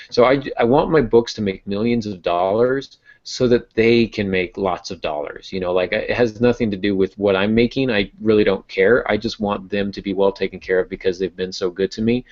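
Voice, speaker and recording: Male podcast co-host, low register, conversational storyteller who quotes dialogue. Dull, lo-fi recording. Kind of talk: casual chat, storytelling. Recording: dull lo-fi audio.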